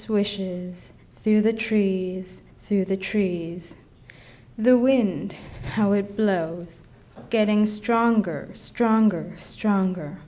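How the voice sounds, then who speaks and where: A young teenage girl reciting her own poem in a deliberate manner. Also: medium pitch, dark tone. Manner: deliberate, repeats words for effect. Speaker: student poet reading own poem. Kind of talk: poetry recitation.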